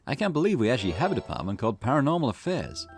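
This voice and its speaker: Man doing an animated, eager junior cop. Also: medium pitch, racing, voice pushed up. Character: eager, cheeky junior male police detective. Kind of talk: acted visual novel dialogue. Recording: music behind the voice.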